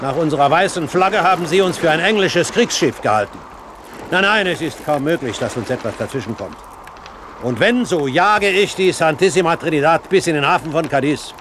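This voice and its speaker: Actor as wry, commanding old pirate captain. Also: male voice, on the high side, lively intonation, brisk, lightly acted. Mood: confident, commanding. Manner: grand, wry, authoritative, given to mild curses. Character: shrewd, commanding older pirate captain, a great-uncle. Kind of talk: 1970s German radio drama acting. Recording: 1970s radio play, background noise.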